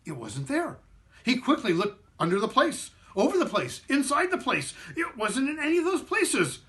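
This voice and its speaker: A man tells a children's story with very animated pitch. His delivery is theatrical and expressive, with some halting repeats along the way. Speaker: performing arts director reading a story.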